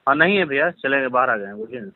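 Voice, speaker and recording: A man's voice: medium pitch, lively intonation, quick pace, no room sound. A man with a Bihari accent speaks deferentially and apologetically, pleading and explaining himself over the phone. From Bihar, India. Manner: deferential, pleading, repeatedly saying brother. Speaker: caller being confronted over a social media comment. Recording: tinny, lo-fi recorded phone call.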